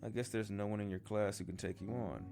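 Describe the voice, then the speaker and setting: A man's voice: on the low side, steady tone, fast talker, gravelly, over music. A man reading the part of a friendly older boy ninja in a storybook, casual and teasing. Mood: teasing, admiring.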